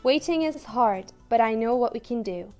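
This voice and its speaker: A young woman with a Turkish accent, an English teacher reading a picture book aloud to toddlers in a slow, sing-song, playful way. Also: on the high side, lively intonation, a little mellow, faint music behind. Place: small room indoors.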